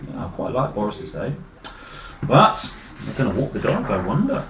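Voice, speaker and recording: A man reading a children's story expressively, with chatty asides and dramatic gasps and pauses. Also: lowish voice, huge pitch swings, a bit bright, echoey room, slight background noise. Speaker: children's book reader. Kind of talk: animated picture-book storytelling with chatty asides.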